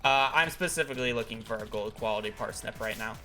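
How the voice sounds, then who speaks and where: A man's voice: lowish voice, fast talker, bright, forceful tone, soft background music. A man talking casually over a live gaming stream, explaining at length with many fillers, his voice congested from a recent illness. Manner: rambling, filler-heavy explanations.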